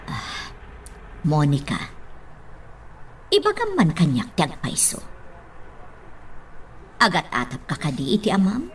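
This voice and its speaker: A woman's voice: low voice, wildly swooping pitch, a little hiss or hum, full character voice. An actress playing a troubled, secretive mother in an Ilocano radio drama, speaking hesitantly. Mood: hesitant, uneasy. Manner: hesitant, halting. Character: troubled, secretive wealthy mother hiding her worries.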